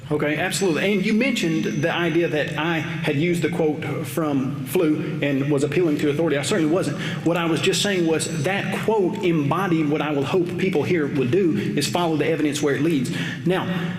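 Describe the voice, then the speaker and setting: A man in his thirties, debating from a podium microphone on an auditorium stage and asking measured, probing questions. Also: a little high. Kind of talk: formal debate cross-examination. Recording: roomy hall reverb, faint noise.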